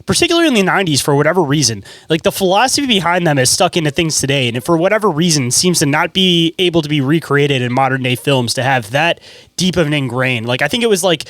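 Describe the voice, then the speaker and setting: Male podcast host, quick and slangy, slightly high-pitched, casual chat, dry sound. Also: expressive, a bit bright, no echo. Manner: slangy, filler-heavy, says "like" and "dude" often.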